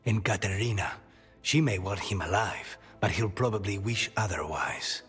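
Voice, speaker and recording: An actor playing a brooding, dry-witted assassin haunted by a demon in a video game, speaking in measured, wry, clipped phrases. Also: male voice, fairly low pitch, a bit bright, husky, soft background music. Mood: grim.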